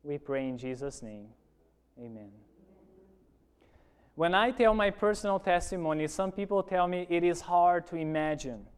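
A man's voice: on the high side, expressive, moderate pace, long pauses, smooth. A man from Brazil with a Brazilian Portuguese accent, a pastor giving an earnest sermon testimony to his church congregation and often asking them for an "amen.".

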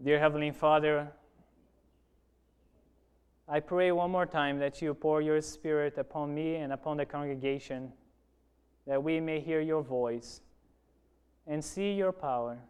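A man's voice: on the high side, fairly even intonation, unhurried, pauses often, clear, resonant tone. A man from Brazil with a Brazilian Portuguese accent, a pastor giving an earnest sermon testimony to his church congregation and often asking them for an "amen.".

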